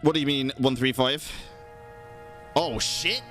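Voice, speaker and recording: A man's voice: mid-range voice, unhurried, fairly bright tone, faint music behind. A man giving casual live commentary as a game streamer, slangy, sweary and reactive.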